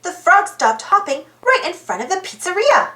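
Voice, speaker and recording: A woman reading a children's storybook aloud as narrator in an animated style. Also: very high voice, normal speed, echoey room.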